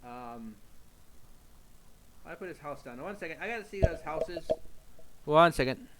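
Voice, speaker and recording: A man talking casually to himself as he writes a script, in a halting, muttering way. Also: on the high side, lively intonation, crowd noise. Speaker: amateur scriptwriter working on camera or mic. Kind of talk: casual thinking aloud while writing a script.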